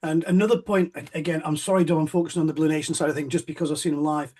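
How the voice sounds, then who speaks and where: Husky fast-talking man hosting. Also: fairly high pitch, steady tone, mellow. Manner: friendly, rambling, lots of fillers. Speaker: podcast host. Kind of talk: casual interview chat.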